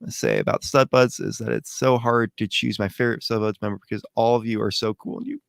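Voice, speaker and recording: A man, co-founder of a livestream show, recalling its start in an earnest, halting way full of fillers. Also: lowish voice, fairly even intonation, creaky, dry recording, clean studio sound. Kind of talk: casual livestream chat.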